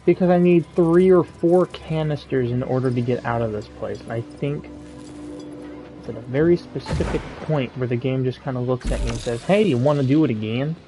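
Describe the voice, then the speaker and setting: A man's voice: medium pitch, normal speed, soft background music. A man giving casual let's play commentary, joking and swearing as he reacts to the game. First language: not Russian. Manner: joking, profane, excitable. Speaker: gaming streamer.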